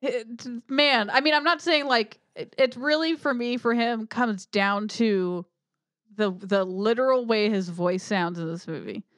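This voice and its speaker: A woman's voice: fairly high pitch, expressive, unhurried, fairly bright tone, dry recording, studio quality. A woman co-hosting a podcast, bluntly skeptical in casual movie chat, often trailing off mid-thought. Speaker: podcast co-host.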